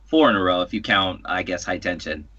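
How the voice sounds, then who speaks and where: A man's voice: low-pitched, a little fast, pushed and bright. A man co-hosting a casual fan chat podcast, on a slightly dull lo-fi track. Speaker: podcast co-host.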